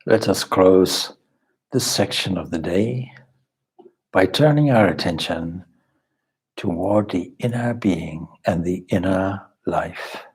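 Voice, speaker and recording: Male meditation guide: very slow delivery, heavily breathy and husky, calm and instructive. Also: low-pitched. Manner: calm, measured, instructive with deliberate pauses. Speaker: swami leading a guided meditation.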